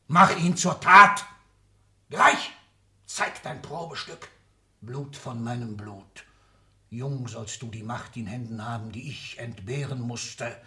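A man's voice: mid-range voice, slow pace, pushed and bright. An older man playing an ailing, domineering old industrialist in a 1960s German radio drama, commanding and declamatory with clipped, emphatic phrases. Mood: fervent, urging. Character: ailing, domineering old industrialist patriarch, irascible, power-hungry.

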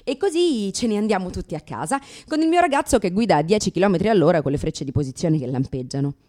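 A woman's voice: on the low side, sing-song, quick pace, a little mellow. An actress in a radio theatre monologue playing a brash, sarcastic, exasperated new mother in her thirties. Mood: sardonic. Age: 30s.

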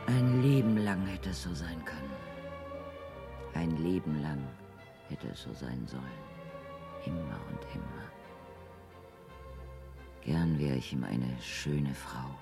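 Neglected wife, slow clipped phrases, lively pitch movement, bitter, wistful, radio monologue, faint music. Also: female voice, gravelly, exaggerated voice. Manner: clipped, repetitive, dramatic phrasing. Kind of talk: German radio drama monologue acting.

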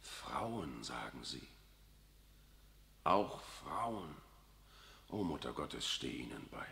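A man's voice: a bass voice, big pitch swings, slow pace, bright, forceful tone, slight background noise, heavily acted. An actor playing a worldly older cardinal in a 1960s German radio drama, unctuous and evasive, forever tagging "isn't it" onto his sentences. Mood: sly. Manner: unctuous, keeps asking "nicht wahr".

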